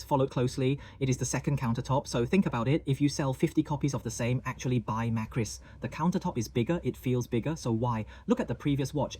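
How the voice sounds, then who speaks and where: Mellow-voiced man explaining diamond prices. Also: medium pitch, slight background noise. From Hong Kong. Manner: chatty, asks the viewer questions. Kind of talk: explaining price charts to camera.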